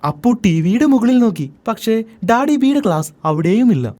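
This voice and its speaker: Animated woman narrating a children's story. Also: on the high side, fairly quick, music in the background.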